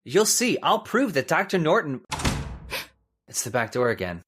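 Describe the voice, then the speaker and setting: A woman's voice: sits low, very animated, normal speed, a bit bright, slight background noise. A woman playing a worried, agitated husband in an old-time radio horror play, urgent and earnest with stammers. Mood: insistent.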